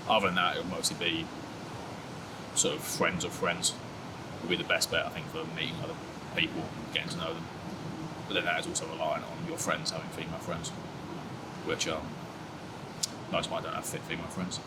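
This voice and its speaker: Low-pitched young man, laid-back, self-mocking, heavy air-con and traffic hum behind. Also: completely flat delivery, fairly quick, long pauses. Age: 20s. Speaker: interviewee. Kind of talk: candid casual chat.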